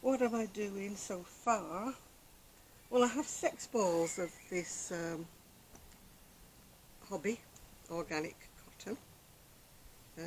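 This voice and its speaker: Soft-spoken older woman chatting outdoors. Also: on the low side, creaky, a little hiss or hum, poor audio. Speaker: crochet vlogger. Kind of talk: casual chat to camera. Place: outdoor deck in the sunshine. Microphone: external camera microphone.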